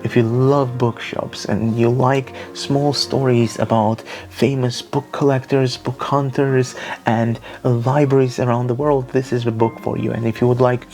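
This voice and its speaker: Young guy w talking books, gravelly low voice, lots of ums and restarts. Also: flat delivery, unhurried, a little mellow, soft background music. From Armenia. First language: Russian. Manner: thoughtful, earnest, with frequent fillers and restarts. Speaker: book vlogger. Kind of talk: casual book recommendations.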